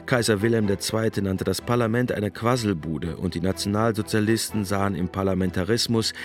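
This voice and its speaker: Male documentary narrator, low pitch, measured explanatory read over music. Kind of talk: scripted narration.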